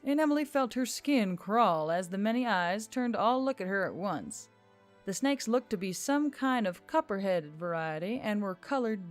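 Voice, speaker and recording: A woman reading scripted fiction as an audiobook narrator. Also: medium pitch. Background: music.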